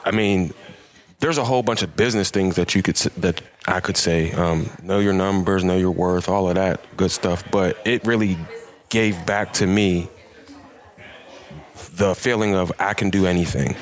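Thirties male guest, lower register, sincere small-business owner in casual chat. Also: normal speed. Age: 30s. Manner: earnest, reflective, repeats words for emphasis. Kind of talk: casual podcast conversation.

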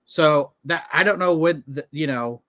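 A man's voice: a little high, unhurried, a bit bright, dry recording. Dry-sounding man in his thirties, chatting casually. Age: 30s. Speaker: comedian and podcast co-host. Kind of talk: casual conversational storytelling.

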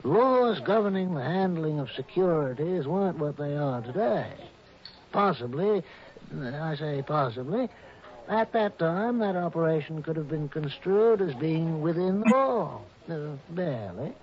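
An old retired judge weighs each word in a careful, lawyerly way, with a fairly high voice for a man. The sound is dull and muffled, like an old radio broadcast. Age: older. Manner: deliberate, hedging, lawyerly. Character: retired old male judge, measured and discreet. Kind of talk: 1960s radio drama acting.

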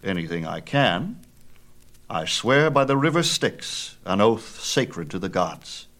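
A man's voice: on the low side, big pitch swings, takes it slowly, fairly bright tone, full character voice. A man voicing a proud, mighty father god in a myth tale, imperious, theatrical and emphatic. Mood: proud, magnanimous. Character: proud, mighty sun god father, booming and quick-tempered. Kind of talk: dramatized myth storytelling.

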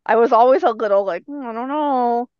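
A woman's voice: a little high, a little fast, a little mellow, clean tone, dry recording, dull audio. A woman co-hosting a podcast in casual chat, telling things as stories and acting out little quotes. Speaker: podcast co-host.

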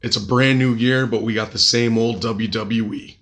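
A man hosting a podcast with crude jokes, his voice raspy from a cold. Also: medium pitch, steady tone, moderate pace, fairly bright tone. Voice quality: gravelly. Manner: crude, joking, upbeat. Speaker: podcast host. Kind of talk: casual podcast chat.

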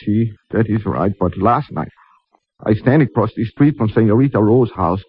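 A man playing a passionate, fatalistic young man in a 1950s radio drama, with a Spanish accent and halting, melodramatic phrasing. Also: fairly low pitch, expressive, breathy edge, dry recording. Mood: anguished confession. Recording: noisy, dull 1950 radio recording with clicks.

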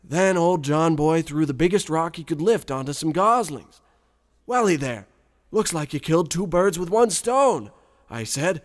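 A man playing a folksy, naively smug country boy who tells his story deadpan, with a rural American accent, in a radio parody. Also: on the high side, unhurried. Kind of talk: scripted first-person storytelling, radio parody.

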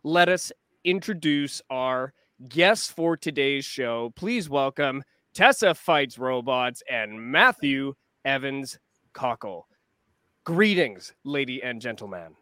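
Lively upbeat male podcast host. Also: medium pitch, slow, no echo, clean studio sound. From Canada. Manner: scripted, upbeat introductions with playful jokes. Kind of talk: podcast conversation.

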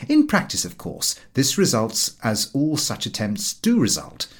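A man narrates a humorous literary essay with a very lively, wide-ranging melody. His voice has a husky grain, and his delivery is measured and wry, shaped as a careful scripted reading. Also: medium pitch. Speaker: audiobook narrator.

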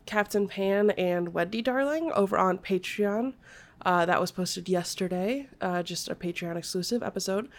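A woman hosting a book podcast in casual chat, nasal from a stuffy nose, chatty with many fillers. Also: mid-range voice. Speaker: podcast host.

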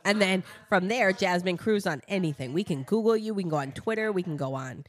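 A woman host talks in a loose, gushing way, laughing often with her guest. Her voice sits on the low side of a woman's range. It is relaxed, casual interview chat. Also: no room sound. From United States. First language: Italian. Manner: chatty, gushing, quick to laugh. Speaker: podcast host.

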